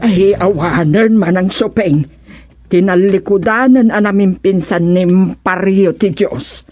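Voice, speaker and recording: A woman acting in a Filipino radio serial as a devout, exasperated niece, with a Filipino accent and a plaintive, expressive way of talking. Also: medium pitch, slow, faint music behind, lightly acted. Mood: dismayed, complaining. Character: devout, exasperated niece worried about a wayward uncle.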